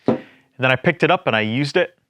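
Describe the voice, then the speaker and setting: A man's voice: mid-range voice, animated, a little fast, fairly bright tone, dry recording. A man giving a casual, plain-spoken product review, explaining things step by step as a reviewer. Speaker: gear reviewer presenting a product.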